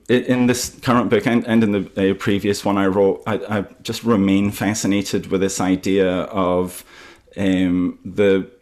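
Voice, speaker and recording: A Scottish man, an author, talking about his writing in a festival interview, halting with many fillers and restarts. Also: lowish voice, darkish tone. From Scotland.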